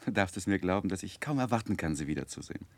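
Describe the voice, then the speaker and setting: An actor playing an earnest young gentleman in a 1960s radio play, speaking and narrating in a measured, polite way. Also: deep voice, animated, no echo. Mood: earnest, fond. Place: dry radio studio.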